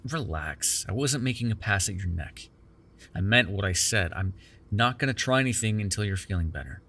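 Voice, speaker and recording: A man's voice: fairly low pitch, animated, normal speed, gravelly, bright, forceful tone, full character voice. A man playing a sardonic but caring captive vampire in an immersive ASMR roleplay, talking in a wry, teasing, profane conversational way. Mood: teasing, exasperated. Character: sardonic, caring immortal male vampire held captive in cuffs.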